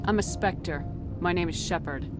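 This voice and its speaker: A woman's voice: fairly low pitch, normal speed, over loud music, natural voice. A woman playing a stern, determined military starship commander in a video game. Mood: firm, defiant.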